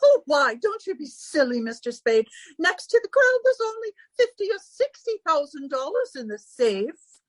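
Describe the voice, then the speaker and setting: A woman's voice: sits high, very animated, normal speed, no echo. A woman playing a vain, flirtatious wealthy society hostess, gushing and affected, in 1940s radio style. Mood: flirtatious, airy. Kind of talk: recreated 1940s radio comedy-drama acting.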